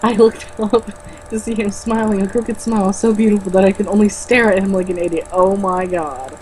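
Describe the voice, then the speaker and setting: A woman's voice: medium pitch, fairly even intonation, fairly quick, darkish tone, slight background noise, rough recording. Sarcastic woman reading a book aloud mockingly. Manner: sarcastic, exasperated asides. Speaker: reader and co-host of a book-mocking show. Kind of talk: mocking read-aloud with ad-libbed comments.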